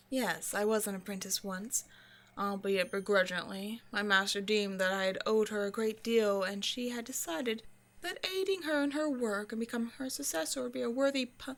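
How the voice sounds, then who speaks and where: A woman's voice: medium pitch, a bit bright, natural voice. Woman acting a haughty, condescending vampire scientist. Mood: guarded, matter-of-fact. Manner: condescending, dry, measured, sighing. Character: cold, haughty century-old female vampire scientist, wryly menacing. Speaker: voice actor in an audio roleplay. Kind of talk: scripted ASMR roleplay monologue, speaking to a listener.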